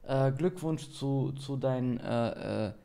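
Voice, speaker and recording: A man's voice: mid-range voice, slow pace, gravelly. A man in his thirties streaming a reaction from a neon-lit room, joking in slangy chat. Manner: slangy and joking, bursts of loud exclamations. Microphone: in-ear headset, webcam.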